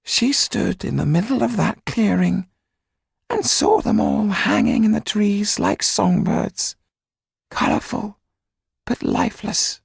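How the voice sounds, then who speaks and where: A man voicing a solemn older Romany mystic in an audiobook, with a whispery, gravelly, husky voice and slow, hushed storytelling. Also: high voice, sing-song, no room sound, clean studio sound, pitched above the performer's own voice, exaggerated voice. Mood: grave sorrow. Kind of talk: audiobook character reading.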